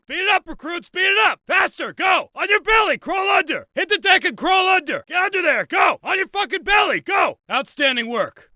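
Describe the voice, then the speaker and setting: A brusque military training instructor from a video game. His voice is fairly high for a man, with a forceful, sharp edge, and he is blunt and commanding in a very dry, close recording. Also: dry recording. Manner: commanding, blunt, clipped orders. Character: brusque, foul-mouthed male military firearms drill instructor. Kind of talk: scripted game voice lines, instructional and barked commands.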